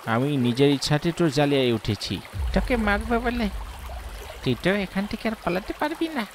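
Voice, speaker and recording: A man's voice: sits high, expressive, moderate pace, slight background noise. A man voicing a murderous, taunting swamp ghost that threatens its victim in a horror cartoon. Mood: menacing.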